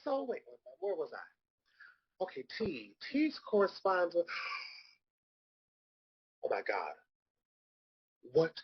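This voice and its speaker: Casting: male actor as sassy, gossipy drag queen, theatrical drawn-out lines, lively swoops, slightly raspy, dull recording. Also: a little high, heavily acted. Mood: playful, gleeful. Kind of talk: comedic live table read. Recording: dull lo-fi audio.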